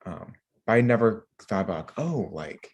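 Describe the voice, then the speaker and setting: A man with a low voice chats as a podcast co-host. His delivery is casual and rambling, stopping and starting as he works through each thought with plenty of filler words. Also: very animated, a little mellow, breathy edge. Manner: rambling, halting, filler-heavy delivery with many "like"s. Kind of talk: casual group chat.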